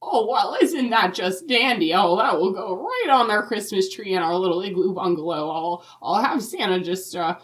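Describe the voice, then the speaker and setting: Holiday interview character: woman as sweet North Pole matron, folksy and genteel, very lively intonation, slightly fussy. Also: mid-range voice, moderate pace, few pauses, close to an everyday voice. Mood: sweet, gracious. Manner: genteel, dithering, old-fashioned phrasing. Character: kindly, prim, folksy Mrs. Claus from the North Pole.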